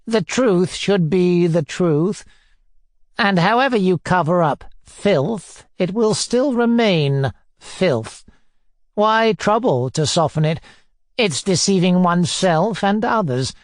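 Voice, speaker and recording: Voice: medium pitch, expressive, a little slow, no echo, clean studio sound, pitched above the performer's own voice, lightly acted. A narrator voicing a pompous, bullying middle-aged man who hectors and sneers, in an audiobook. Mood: pompous, nonchalant. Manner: pompous, majestic nonchalance, hectoring questions. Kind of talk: audiobook character dialogue.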